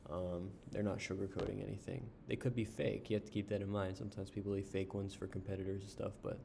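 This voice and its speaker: Man, low dark voice, unhurried screen-share review, filler-heavy. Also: flat delivery, gravelly, slight background noise. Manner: unhurried, with frequent ums and uhs while browsing. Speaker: reviewer of online writing services.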